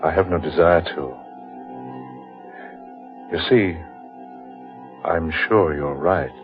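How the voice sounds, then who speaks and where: A man's voice: sits low, slow pace. An actor playing a firm, grave man in an old-time radio horror drama, dramatic and authoritative. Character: deep-voiced, firm, grave man named Humphreys. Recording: dull vintage radio recording with music.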